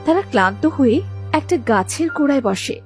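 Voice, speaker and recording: High-pitched, lively woman storyteller. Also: brisk, music in the background. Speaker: narrator. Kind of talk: scripted children's story narration.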